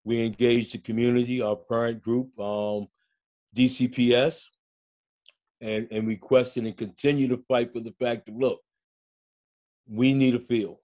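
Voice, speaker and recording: An older man and school coach speaking on a video call from his home, telling stories in a slow, reflective way during a panel discussion. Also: mid-range voice, flat delivery, a little mellow. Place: home room, indoors.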